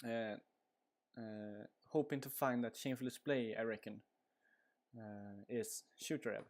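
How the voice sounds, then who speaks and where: A man's voice: lowish voice, fairly even intonation, measured pace, darkish tone. A man with a Swedish accent giving casual live commentary on a card game stream, rambling with lots of fillers. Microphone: headset.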